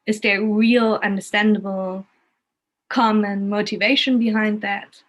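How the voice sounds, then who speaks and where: A woman's voice: mid-range voice, steady tone. German-accented woman searching for her words. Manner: halting, searching for words, thinking aloud. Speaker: interviewed art educator giving museum tours. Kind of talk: casual conversational explanation.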